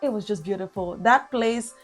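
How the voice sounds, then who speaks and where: A woman's voice: medium pitch, normal speed, faint music behind. A young woman with a Cameroonian accent, recapping her travels in a chatty vlog with fillers and restarts. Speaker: travel vlogger.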